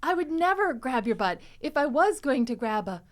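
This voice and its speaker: A woman hosting a podcast in casual, animated chat, often slipping into quoted dialogue. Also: high voice, moderate pace. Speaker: podcast host.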